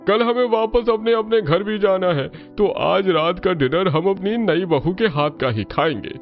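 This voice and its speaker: A man's voice: high voice, a little slow, highs cut off, faint music behind, voice pushed up. A man voicing a hearty, teasing middle-aged uncle, a wedding guest, in a family cartoon. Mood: jovial, eager. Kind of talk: Hindi family cartoon voice acting.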